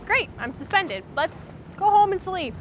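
A young woman rants to camera in a high, sarcastic voice. She is outdoors, with clear traffic noise around her. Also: sing-song, a little slow. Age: young adult. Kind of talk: opinion rant to camera. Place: outdoors by a parking garage. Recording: noisy outdoor traffic ambience.

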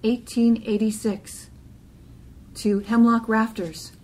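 Slow-paced woman reading poetry. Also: a little high, flat delivery, darkish tone, slight background noise, rough recording. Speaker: poetry reader. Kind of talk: literary poem reading.

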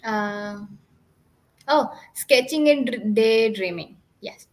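A young woman, a student, speaks on an online panel in a slightly high voice. She is very slow and hesitant, keeping her answers brief. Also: a little mellow. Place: indoors, on a video call.

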